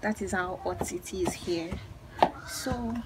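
A woman's voice: on the low side, slow. A woman in her twenties with a Nigerian accent chats casually and emphatically to the camera, repeating phrases for stress. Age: 20s. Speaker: vlogger. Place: outdoors in a village yard. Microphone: camera at arm's length. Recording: noisy outdoor phone-style recording with clicks.

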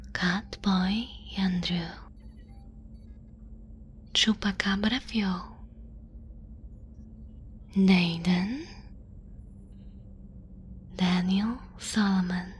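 A woman whispering softly and breathily in an ASMR style, as a creator addressing listeners. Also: medium pitch, a little hiss or hum. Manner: whispering, soft and breathy delivery. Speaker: ASMR channel creator thanking listeners and patrons.

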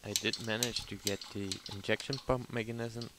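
A man's voice: lowish voice, flat delivery, normal speed. A man giving casual workshop commentary as the host of a repair vlog. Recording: clear background noise, mechanical clicks.

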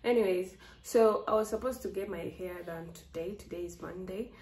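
A woman's voice: on the low side, moderate pace, a little mellow. A young woman from Rwanda with a Rwandan accent, chatting casually to a phone camera as a vlogger. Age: young adult. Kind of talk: casual vlog chat to camera. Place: small indoor rooms, speaking to camera. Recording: roomy echo, faint background noise.

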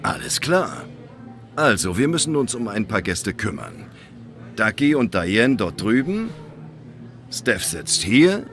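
An actor playing a friendly, easygoing bar owner in a video game, casual and kindly. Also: male voice, lowish voice, sing-song, slow pace, long pauses, a bit bright, soft background music, heavily acted. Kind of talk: video game dialogue acting.

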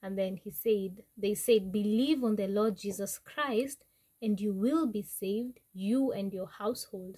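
A young woman with a Kenyan accent talks to the camera as an informal Bible teacher. Her pitch sits in the middle range and her pace is unhurried. She is chatty and halting, correcting herself as she goes. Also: dark tone, husky. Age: young adult. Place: small wood-panelled room indoors.

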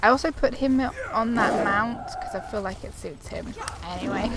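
A woman's voice: on the high side, unhurried, background noise. A woman giving casual commentary on her own game playthrough and reading dialogue choices aloud, easily amused. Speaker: gamer narrating own playthrough. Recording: music behind the voice.

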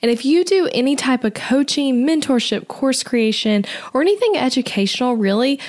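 A woman's voice: high voice, expressive, normal speed, a little mellow. A young adult woman hosting her own podcast, talking in an upbeat, chatty way while getting her voice back after being sick. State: recovering voice after illness. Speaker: podcast host, web designer. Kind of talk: solo podcast talk.

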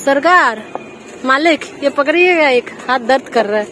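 Young woman, from Kolkata, high voice, teasing vlog chat, phone held at arm's length, noisy mall. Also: animated, moderate pace. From Kolkata, India. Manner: teasing, playful, laughs often. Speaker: vlogger. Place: shopping mall and sports store, indoors. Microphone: phone camera at arm's length.

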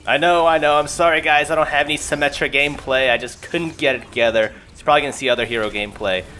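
A man's voice: fairly high pitch, fairly even intonation, fast, bright, forceful tone. A young man doing casual gaming commentary as a video creator, chatty, informal and self-deprecating. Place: voice-over recorded apart from the gameplay. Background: music.

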